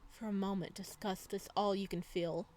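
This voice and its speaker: A woman's voice: medium pitch, flat delivery, normal speed, darkish tone. A woman gaming YouTuber reading visual novel text aloud, mixed with casual commentary.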